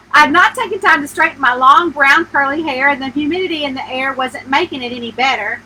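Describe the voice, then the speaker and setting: A woman's voice: sits high, a little fast, sharp tone, rough recording. A woman reading a first-person story aloud as its author, expressively acting out the dialogue. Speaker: mystery author reading her own book.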